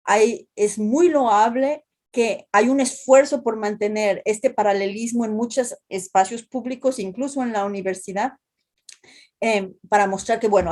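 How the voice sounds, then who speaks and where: A woman's voice: mid-range voice, darkish tone. A woman from Mexico with a Mexican accent, a translation professor explaining ideas in an interview with many restarts and tag questions. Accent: Mexican Spanish. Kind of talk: academic interview talk.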